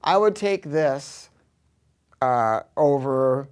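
An American man in his sixties with a mid-pitched voice talks like a seasoned shop dealer. He is casual and slangy, punching key words as he presents gear off the cuff. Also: drawn-out words, smooth. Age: 60s. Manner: animated, emphatic, slangy. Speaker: hi-fi dealer presenting a product review. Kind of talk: casual unscripted sales talk. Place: carpeted audio showroom with curtains and acoustic panels.

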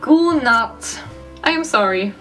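A woman's voice: on the high side, expressive, a bit bright, distant mic, soft background music. A young German woman in her twenties with a German accent, chatting casually in a bedroom vlog and sounding out foreign words. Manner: chatty, self-mocking, sounds out words slowly. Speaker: YouTuber. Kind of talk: casual language-learning vlog. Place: bedroom, indoors. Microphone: studio mic off camera.

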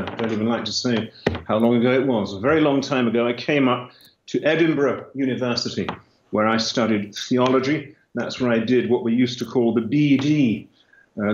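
A man in his fifties from London with an English accent, answering as an interview guest. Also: medium pitch. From London, England. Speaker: guest, church historian. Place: indoor room with long table. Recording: roomy, dull, noisy remote link.

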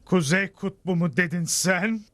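A man's voice: high voice, a little slow, no echo, studio quality. A man playing a pompous, quarrelsome royal heir competing with his rival, in a Turkish cartoon dub. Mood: indignant rivalry. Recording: loud music behind the voice.

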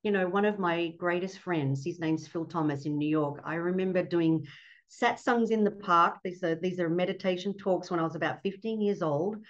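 A woman's voice: on the low side, moderate pace. A middle-aged Australian woman, a fertility doctor, speaking earnestly as a guest on a video call from her home office, often breaking into laughter. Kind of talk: casual interview on a video call. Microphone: laptop webcam mic on a video call. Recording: dull lo-fi video call audio.

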